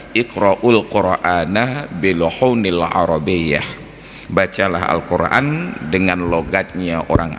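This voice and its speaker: A man speaks as an Islamic teacher in a rather low voice with an Acehnese accent. His lecture is informal and conversational, built on rhetorical questions. It rings with long mosque reverb over some background noise. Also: a bit bright. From Aceh, Indonesia. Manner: conversational, rhetorical questions, examples mixed with local dialect. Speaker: Islamic teacher giving a mosque study talk. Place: large reverberant mosque interior. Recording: echoey hall with background noise.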